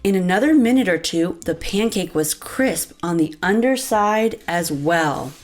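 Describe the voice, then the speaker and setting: Woman, children's storybook narrator, expressive, slow pace, lively swooping intonation, speaks to young listeners. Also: on the low side. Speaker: children's book reader and host. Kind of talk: animated read-aloud narration for young children. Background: light background music.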